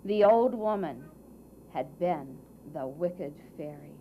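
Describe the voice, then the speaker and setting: A woman narrating a scripted fairy tale for a puppet show in a theatrical way, with dramatic pauses and emphasis. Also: low voice, expressive, dark tone. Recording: muffled, faint background noise.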